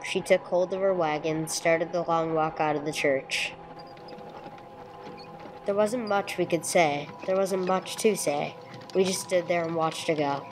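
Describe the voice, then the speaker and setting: A woman's voice: on the low side, fairly even intonation, a little slow, music in the background, close to an everyday voice. A woman playing a terse, sober police detective who narrates a case in an old-time radio drama style, on a noisy recording. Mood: sober, reflective. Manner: matter-of-fact, clipped. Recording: clearly noisy amateur recording.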